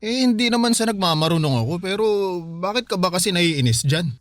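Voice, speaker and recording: A man's voice: sits high, lively intonation, a little fast, no room sound, clean studio sound. A man playing a devoted, worried young husband in a radio drama, with a pleading, emotional delivery. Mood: bewildered.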